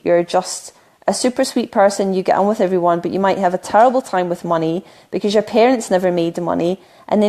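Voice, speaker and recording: A middle-aged woman from the UK, a spiritual coach on a solo live stream, talking earnestly with many ums and restarts, her voice a little croaky from talking a lot. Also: mid-range voice, darkish tone.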